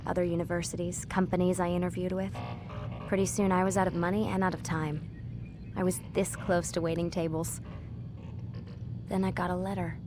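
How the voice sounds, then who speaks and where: An actress playing a young scientist in a video game, earnest, weary and guarded, speaking in a confiding, halting way. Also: female voice, lowish voice, flat delivery, over music, close to an everyday voice. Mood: reflective, confiding. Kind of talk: naturalistic game dialogue.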